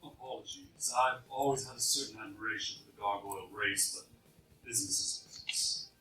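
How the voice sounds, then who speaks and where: A man's voice: fairly low pitch, steady tone, normal speed, sharp tone, faint music behind. A man playing a polite, cold supervillain in a live radio play, recorded distant and echoey. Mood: coolly courteous.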